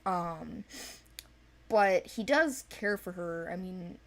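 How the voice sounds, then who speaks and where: A young woman chatting informally and unscripted as she presents characters from an animated series, with a playful, self-deprecating manner. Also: on the low side, slow. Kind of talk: casual unscripted character overview.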